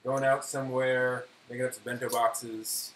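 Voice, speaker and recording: A man's voice: mid-range voice, level tone, moderate pace. Man chatting casually in an echoey room. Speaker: host of a cooking segment. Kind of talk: casual cooking show chat. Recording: echoey room with faint hiss.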